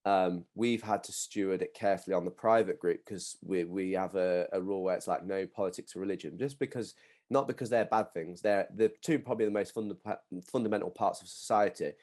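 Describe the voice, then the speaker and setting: A man with a fairly low voice hosts a podcast conversation. He backs his guest up, agrees readily and calls him brother. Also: fairly quick, a little mellow. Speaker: podcast host, men's mental health group founder.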